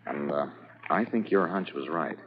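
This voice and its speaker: An actor playing a brisk, matter-of-fact police sergeant in a 1950s radio drama. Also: male voice, rumbling low, animated. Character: police sergeant, loyal partner. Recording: dull old radio transcription, hiss.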